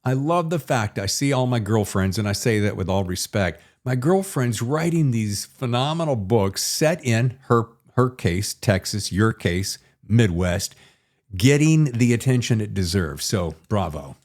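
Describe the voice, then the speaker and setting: Animated man hosting a bantering interview. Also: lowish voice, unhurried. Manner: playful, effusive, informal. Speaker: podcast host interviewing an author. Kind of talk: casual, bantering interview chat.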